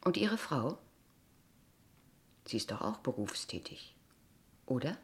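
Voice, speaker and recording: A kindergarten teacher in a radio drama, with a notably low female voice. She is polite and formal on the surface, yet keeps pressing with probing questions and quiet disapproval. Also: sing-song, slow, full character voice. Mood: insistent, disapproving. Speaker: actor in a radio play. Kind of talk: dialogue radio play acting in standard German.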